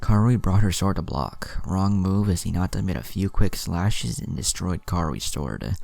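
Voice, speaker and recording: A man's voice: sits low, moderate pace, gravelly, a little mellow. A man narrating a fan fiction story, reading it aloud with background music behind him.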